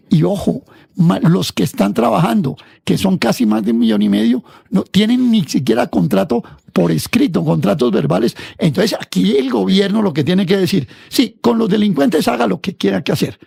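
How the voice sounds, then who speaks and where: Guy on a radio panel, really gravelly and husky, explains slow and steady. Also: high-pitched, dry recording, studio quality. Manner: measured, explanatory, citing figures. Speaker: panel commentator.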